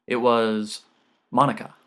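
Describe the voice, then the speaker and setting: A man's voice: medium pitch, flat delivery, slow, a bit bright, smooth. A man narrates a piece of fiction for an audiobook. His voice sits in a middle register as he reads the scripted prose.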